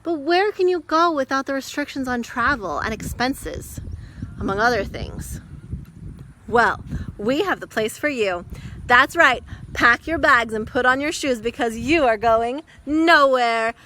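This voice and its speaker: Parody commercial announcer played by a woman: perky, persuasive, salesy. Fairly high, forceful, bright delivery. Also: animated, measured pace, a little hiss or hum, exaggerated voice, low-quality recording. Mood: upbeat, salesy. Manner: salesy, upbeat. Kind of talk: parody commercial monologue, scripted.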